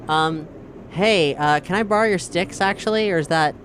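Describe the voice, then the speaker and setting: A woman's voice: fairly low pitch, a little slow, slight background noise. A woman playing a tabletop role-playing game, talking casually and dryly, with some swearing. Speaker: player. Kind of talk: casual improvised tabletop role-play chat. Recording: music behind the voice. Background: music.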